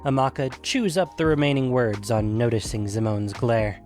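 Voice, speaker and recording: A man's voice: mid-range voice, moderate pace, a little mellow, soft background music. A man hosting and narrating a scripted fantasy audio story. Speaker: narrator and podcast host.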